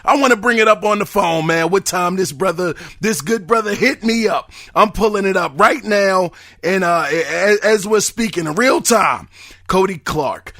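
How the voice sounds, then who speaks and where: Hoarse, excitable high-voiced man host. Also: moderate pace. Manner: excitable, shouting. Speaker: podcast host. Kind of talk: casual podcast monologue.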